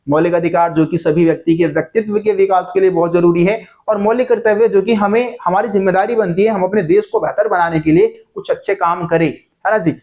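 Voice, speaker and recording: A man's voice: sits high, highs cut off. A man teaching an exam-prep lesson in a conversational way, often checking in with his students and answering his own questions. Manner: conversational, keeps checking in with "ठीक है जी"; repeats key points, asks and answers own questions.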